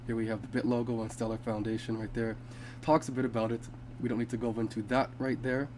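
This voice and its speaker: A man's voice: mid-range voice, level tone, fast, a little mellow, slight background noise. A man who sounds congested from being sick, hosting a casual crypto video and reading from web pages with fillers and restarts. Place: home.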